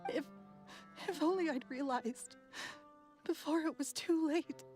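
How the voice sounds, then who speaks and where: A woman playing a gentle, grieving young woman, soft and hesitant, in an anime-style game. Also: high voice, measured pace, faint music behind. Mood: remorseful.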